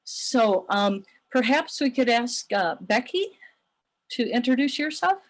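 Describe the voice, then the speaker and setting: Woman moderating a panel, halting and thoughtful. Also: a little high, animated. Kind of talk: panel discussion on a video call.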